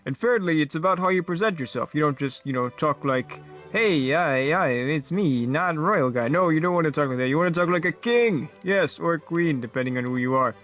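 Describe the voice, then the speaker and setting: A man's voice: fairly high pitch, animated, normal speed, hardly any pauses, a bit bright, soft background music. A man voicing a self-assured, casual king who chats and explains as a guest on a mock talk show. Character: pompous male king guest, cool and chatty.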